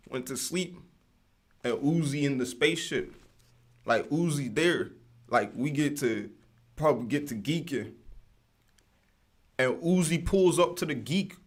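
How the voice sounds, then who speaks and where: A man hosting a livestream in casual, rambling slang with lots of fillers. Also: mid-range voice. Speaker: livestream host.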